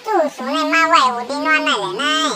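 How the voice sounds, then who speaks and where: A man's voice: very high voice, fast, sharp tone, soft background music, pitched above the performer's own voice, exaggerated voice. A man voicing a young male villager in a folk tale, speaking earnestly and pleading.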